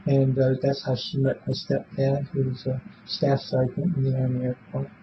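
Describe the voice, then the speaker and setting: Elderly male interviewee, seventies, mid-pitched, rambling and halting with repeated words; muffled, noisy room tape. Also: monotone. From born in Belfast, Northern Ireland; raised in Illinois from age seven. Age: 70s. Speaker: interviewee in a police polygraph interview. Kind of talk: casual, rambling spoken answers. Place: small police interview room.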